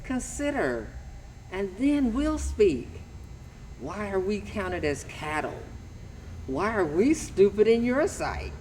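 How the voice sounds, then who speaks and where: A woman's voice: mid-range voice, sing-song, slow, a little mellow. A woman playing an indignant, challenging friend in an amateur church Bible drama, reciting emphatically. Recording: distant from microphone, faint noise.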